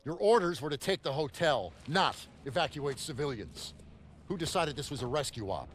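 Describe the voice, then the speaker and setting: Man playing a stern, animated army officer. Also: on the high side, normal speed, natural voice. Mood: stern, reprimanding. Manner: forceful, reprimanding military delivery. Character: stern, demanding army officer, gung-ho and commanding. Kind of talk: cinematic war game acting. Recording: noisy battlefield ambience with vehicles.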